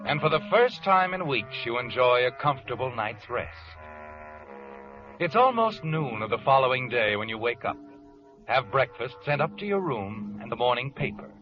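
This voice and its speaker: An actor playing a sly, all-knowing narrator who needles the listener in a 1940s radio suspense drama. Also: male voice, medium pitch, expressive, normal speed, close to an everyday voice. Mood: sly, taunting. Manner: insinuating, with needling tag questions. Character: sardonic, all-knowing male narrator taunting the protagonist. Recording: muffled vintage radio recording. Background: dramatic background music.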